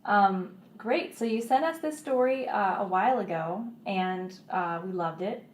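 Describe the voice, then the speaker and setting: A woman's voice: mid-range voice, measured pace. Woman interview host in a roomy space. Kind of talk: radio interview hosting. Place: radio studio.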